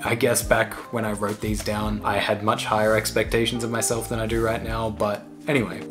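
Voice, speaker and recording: A young man talking through his old life plans in a casual, thoughtful vlog, rambling and trailing off at times. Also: mid-range voice. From Australia. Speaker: YouTuber.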